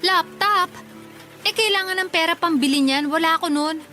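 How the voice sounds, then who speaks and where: Woman acting a high-voiced, dutiful elder sister. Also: normal speed, sharp tone, soft background music. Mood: exasperated. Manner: polite but firm. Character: young working daughter, dutiful, exasperated, firm elder sister. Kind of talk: radio drama acting.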